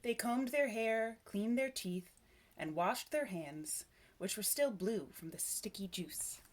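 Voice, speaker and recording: A woman reading a children's story aloud, with expressive, measured narration and dramatic phrasing. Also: mid-range voice. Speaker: storybook reader.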